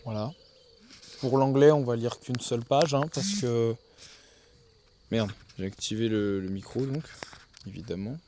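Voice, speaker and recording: A man rambling through a casual spoken video diary, stopping and restarting his thoughts as he goes. His voice sits at an ordinary middle pitch and is picked up on a phone outdoors. Faint crickets and insects hum behind him in the countryside. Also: a little slow, pauses often, mellow. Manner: rambling, thinks aloud with frequent restarts. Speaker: video journal keeper.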